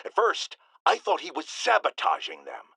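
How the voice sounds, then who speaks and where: An actor playing a bombastic, paranoid middle-aged radio talk show host in a video game, ranting with indignant, theatrical emphasis. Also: male voice, on the high side, huge pitch swings, moderate pace, gravelly, bright, forceful tone, husky, no echo, heavily acted. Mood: suspicious, outraged.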